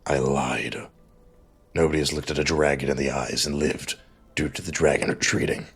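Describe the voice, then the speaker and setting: A man voicing a gruff, battle-weary, protective mentor in an audiobook, with a growling, blunt delivery and a gravelly rasp. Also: very deep voice, fairly even intonation, moderate pace, fairly bright tone, full character voice. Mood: blunt, grudging. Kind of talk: audiobook character dialogue.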